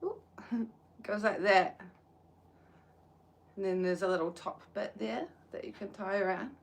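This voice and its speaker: A woman's voice: mid-range voice, measured pace, creaky. A young woman with a New Zealand accent, chatting hesitantly through a crochet livestream while sitting outdoors in the sun. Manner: soft-spoken and hesitant, with frequent "um"s. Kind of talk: casual livestream chat.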